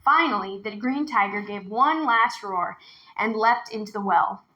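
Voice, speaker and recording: A woman narrating a children's novel aloud in an expressive storytelling style. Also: mid-range voice, measured pace, muffled recording.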